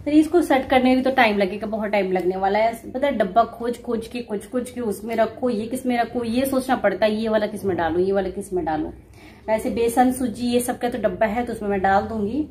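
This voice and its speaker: A woman's voice: a little high, fairly quick, darkish tone, slight background noise. Chatty young woman in echoey kitchen. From India. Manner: chatty and explanatory, addressing viewers directly. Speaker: vlogger. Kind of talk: casual home vlog, showing groceries and explaining. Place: home kitchen, indoors. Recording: echoey home room.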